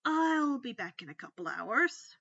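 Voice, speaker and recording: A woman's voice: a little high, big pitch swings, unhurried, vocal fry, sharp tone, no room sound, muffled recording, heavily acted. A woman voicing a polite, anxious but brave teenage boy wizard in a storybook, hesitant and understated. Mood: reassuring.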